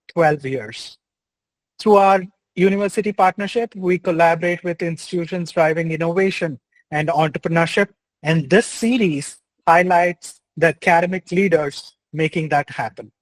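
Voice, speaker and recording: A man's voice: a little high, no echo, poor audio. A young man hosting an interview, reading an enthusiastic intro and asking questions in an upbeat way. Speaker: host.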